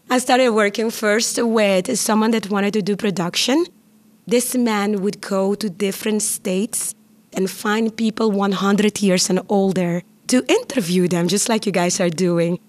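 Lively woman telling her story. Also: medium pitch, measured pace. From Curaçao. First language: Papiamentu. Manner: lively, chatty, laughs often. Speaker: interviewee. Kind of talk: personal storytelling.

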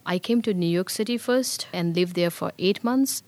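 Middle-aged Bhutanese woman, Nepali-accented English, lowish voice, dry close sound, earnest and reflective storytelling. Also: moderate pace, a little mellow, no room sound. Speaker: interviewee. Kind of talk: personal storytelling.

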